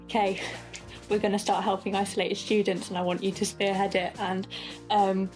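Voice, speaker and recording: A woman in her twenties talks about her campus life as an election candidate. She is chatty and fond, filling pauses with ums, with soft music playing underneath. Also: medium pitch, level tone, poor audio. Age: 20s. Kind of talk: casual interview answers.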